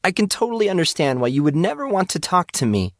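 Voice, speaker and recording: A man voicing an earnest, embarrassed teenage schoolgirl in audiobook dialogue, sometimes halting. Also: sits high, big pitch swings, no room sound, clean studio sound, voice pushed up. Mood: distraught, apologetic. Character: remorseful, embarrassed teenage schoolgirl who loves singing.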